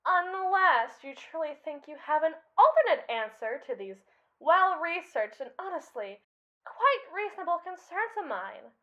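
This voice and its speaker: A woman's voice: high voice, a bit bright, highs cut off, heavily acted. A woman playing a haughty, sarcastic evil female robot with a pompous, wordy, theatrical manner in an audio roleplay. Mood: haughty, exasperated. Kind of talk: ASMR audio roleplay monologue.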